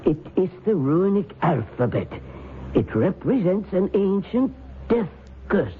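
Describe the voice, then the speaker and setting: An actor playing a grave, scholarly professor of the occult, probing and measured, in an old-time radio drama. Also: male voice, sits high, sing-song. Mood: ominous. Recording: tinny old radio broadcast, faint noise.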